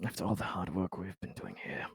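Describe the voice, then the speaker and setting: A man's voice: sits low, lively intonation, a little fast, vocal fry, breathy edge, no room sound. A man chatting casually as a player in a tabletop game.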